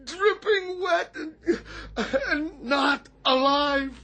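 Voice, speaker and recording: An actor playing a frightened, haunted navy chief in a radio drama, with a halting, anguished delivery. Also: male voice, extremely high pitch, pushed and bright, full character voice. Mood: terrified.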